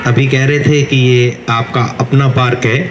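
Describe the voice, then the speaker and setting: A man's voice: medium pitch, little pitch movement, measured pace, reverberant, background noise. A man giving a short, polite and formal thank-you speech. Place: outdoor park.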